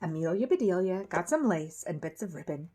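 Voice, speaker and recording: A woman reading a children's story aloud in an expressive storytelling style. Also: lowish voice, fairly quick, slightly rough. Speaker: storybook reader.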